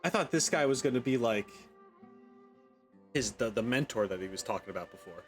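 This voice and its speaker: A man streams a video game in an informal, rambling way, at a middling pitch. He thinks out loud and reads on-screen text aloud, with music clearly playing behind his voice. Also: animated, fairly quick. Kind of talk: casual let's play commentary, reading in-game text aloud.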